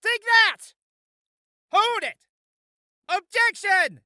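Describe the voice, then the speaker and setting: A man playing an excitable, flustered young defence lawyer from a video game, halting but given to loud protest. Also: extremely high pitch, no room sound, studio quality, heavily acted. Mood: indignant. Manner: halting, bursts into shouted protest. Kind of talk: video game character acting.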